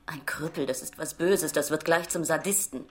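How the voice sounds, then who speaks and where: An actress playing a nagging, self-centred wife in a German radio play, with a haughty, reproachful manner. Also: female voice, low-pitched, normal speed, close to an everyday voice. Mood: scornful reproach.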